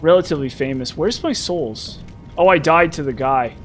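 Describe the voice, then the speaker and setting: A man in his thirties with a slightly high voice, rambling in a loose, chatty way as he talks straight to his stream viewers. Faint game music plays underneath him. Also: moderate pace. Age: 30s. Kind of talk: casual live-stream chat while playing.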